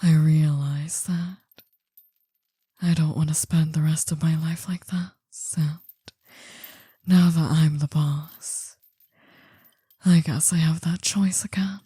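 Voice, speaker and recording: A woman playing an older female mafia boss in an intimate ASMR roleplay, possessive, doting and soothing, in a breathy half-whisper. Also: deep voice, steady tone, dark tone, no room sound, exaggerated voice. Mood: weary, confiding. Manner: slow, soothing, coaxing.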